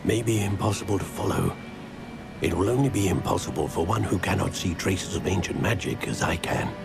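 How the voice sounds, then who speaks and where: An actor playing a grave, wise older wizard professor in a fantasy video game, with a creaky voice. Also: male voice, low-pitched, fairly quick, over music. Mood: grave, measured.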